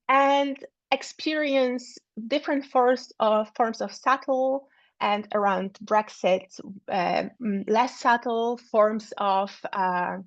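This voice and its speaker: A woman giving an academic talk over a video call, halting often with fillers and repeated words. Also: medium pitch, dry recording. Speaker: academic speaker. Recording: dull lo-fi sound.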